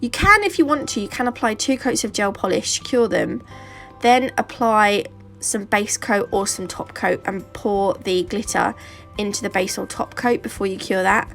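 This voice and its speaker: A British woman narrates a home nail tutorial, her voice gravelly and thickened by a sore throat and a stuffy nose. She rambles cheerfully, trailing off into fillers and asides. Faint music plays softly behind her. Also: medium pitch, normal speed. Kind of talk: casual how-to narration.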